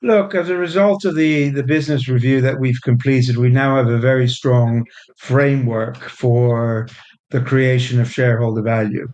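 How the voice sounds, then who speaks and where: A man, a company executive chairman, speaking slowly and deliberately in a business interview. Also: medium pitch, steady tone, a little mellow, muffled recording.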